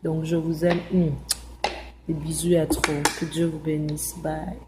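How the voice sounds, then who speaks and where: A woman leaving a soft, affectionate and repetitive phone voice message. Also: low-pitched, flat delivery, unhurried, heavy background noise. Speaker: group member. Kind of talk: casual voice message.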